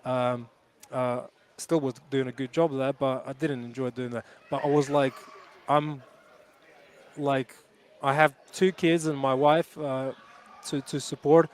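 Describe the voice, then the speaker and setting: A man's voice: mid-range voice, fairly even intonation, measured pace, slight background noise. A man in his thirties, a jiu-jitsu coach, answering interview questions earnestly and reflectively, with frequent restarts. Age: 30s.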